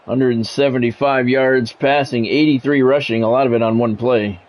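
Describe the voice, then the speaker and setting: A man's voice: mid-range voice, steady tone, moderate pace, darkish tone. A man doing play-by-play commentary on a football video game in a conversational way, with wry asides. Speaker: play-by-play announcer.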